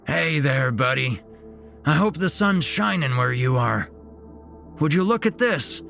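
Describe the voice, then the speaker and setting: A man's voice: fairly high pitch, expressive, unhurried, gravelly, a bit bright, soft background music, pitched above the performer's own voice, exaggerated voice. Man putting on a folksy sinister grandpa. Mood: folksy cheer. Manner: folksy, chipper, menacing underneath. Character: sinister cheerful old grandfather on video.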